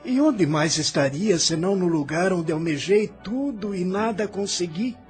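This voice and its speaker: A man's voice: sits high, expressive, moderate pace, faint music behind. A man playing an agitated, ailing immigrant in an audio drama, theatrical and impassioned, embittered by hardship. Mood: bitter, puzzled. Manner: theatrical, impassioned, swinging from faint to outcry.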